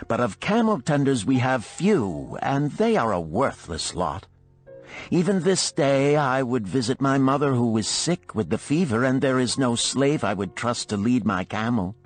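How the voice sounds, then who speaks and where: A man reads the part of a proud older desert wife in a storybook tale. She is cold and imperious, challenging her listener in formal archaic phrases, with soft music behind. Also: mid-range voice, voice pushed up, natural voice. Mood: cold, commanding.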